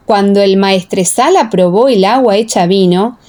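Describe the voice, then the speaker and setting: A woman's voice: medium pitch, normal speed, darkish tone. A woman narrating a dramatized Bible audiobook in a solemn, carefully phrased way.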